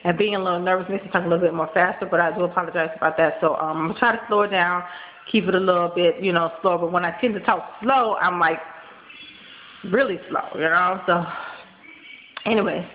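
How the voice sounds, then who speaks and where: A woman in her thirties with a nasal voice, congested from a cold and tired, talking fast in a rambling casual vlog full of ums and likes, recorded close on a webcam in a small room at home. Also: on the low side. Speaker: vlogger. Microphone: built-in webcam mic, close. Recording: dull lo-fi webcam audio with faint hiss.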